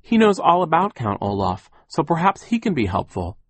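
A man's voice: fairly high pitch, wildly swooping pitch, normal speed, pitched above the performer's own voice. A man voicing an earnest, desperate young orphan girl in an audiobook story, urgent and insistent. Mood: pleading.

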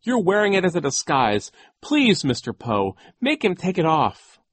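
A man's voice: fairly high pitch, wildly swooping pitch, normal speed, slightly rough, no echo, voice pushed up, full character voice. A man voicing an earnest, exasperated storybook orphan boy, urgent and indignant. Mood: disgusted, pleading.

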